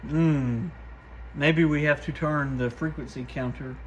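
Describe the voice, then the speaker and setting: A man's voice: on the high side, fairly even intonation, measured pace, highs cut off. A man casually thinking aloud in short, muttered remarks as he demonstrates and tests gear by hand. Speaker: radio shop host testing gear. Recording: clear background noise with clicks.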